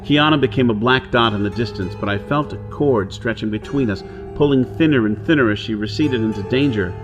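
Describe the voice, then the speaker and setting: A man's voice: mid-range voice, brisk, highs cut off, lightly acted. An actor playing a desperate outlaw hero on Mars, telling his ordeal in intense, theatrical first-person narration. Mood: brooding, anguished. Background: dramatic music and soundscape.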